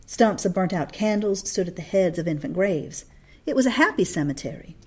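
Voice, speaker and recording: A woman with a slightly low voice reads a story aloud. Her delivery is expressive, in the manner of an audiobook narrator. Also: fairly quick, breathy edge. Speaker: read-along narrator.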